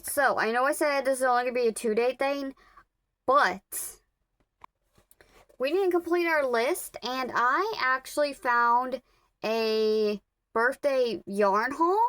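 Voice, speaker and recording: A woman with a somewhat high voice chats slowly and unhurriedly through a late-night crochet vlog, wandering off track and correcting herself as she works. Her throat sounds a little rough, and the sound is close and dry with no room around it. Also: fairly bright tone, no room sound. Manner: rambling, self-correcting, talks to viewers while working. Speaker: crochet vlogger. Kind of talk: casual chatty vlog.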